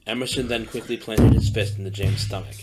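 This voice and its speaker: A man reading a dark audiobook story as narrator, in a steady descriptive manner. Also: fairly low pitch, brisk, heavy background noise. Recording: narration over loud music bed.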